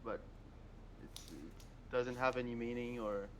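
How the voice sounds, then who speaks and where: A man's voice: mid-range voice, unhurried, slight background noise. A Moroccan-born man whose first language is French, speaking English with a French accent as a podcast guest over a phone, giving short, hesitant answers. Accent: French-speaking Quebec, Moroccan-born. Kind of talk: casual podcast chat. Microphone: mobile phone.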